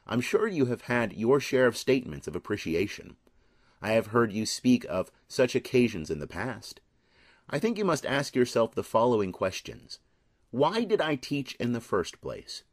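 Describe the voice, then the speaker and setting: A man reading a personal letter aloud in an earnest, measured, deliberate way. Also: mid-range voice, dry recording. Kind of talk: scripted reading of a heartfelt letter.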